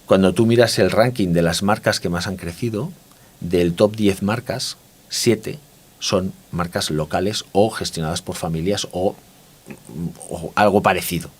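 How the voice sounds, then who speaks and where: Very deep-voiced man from Spain. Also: unhurried, breathy edge. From Barcelona, Spain. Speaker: interview guest, consumer market expert. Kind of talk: conversational interview, explanatory talk.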